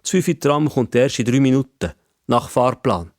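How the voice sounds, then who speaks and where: A man's voice: medium pitch, expressive, moderate pace, lightly acted. A man with a Swiss German accent voicing an old-fashioned civil servant in a story, pedantic, formal and insistent. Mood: friendly, officious.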